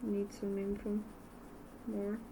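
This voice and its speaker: A woman from Bulgaria with a Bulgarian accent, a native Bulgarian speaker, teaching an art method in a casual demo and often pausing to search for words in English. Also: mid-range voice, completely flat delivery, background noise. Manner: slow, halting, searching for words. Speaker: art instructor.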